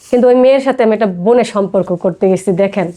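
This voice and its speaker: A woman's voice: medium pitch, very fast, warm tone. A woman with a Bangladeshi accent telling her personal story casually as a guest, often acting out quoted dialogue.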